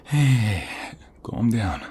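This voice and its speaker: A man acting as a protective man in love in an ASMR roleplay, moving between intimate murmurs and forceful lines. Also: fairly low pitch, sing-song, a little hiss or hum, heavily acted. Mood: anxious. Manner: intimate, slow, swinging from soft murmur to forceful. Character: protective young man in love, tender but fierce.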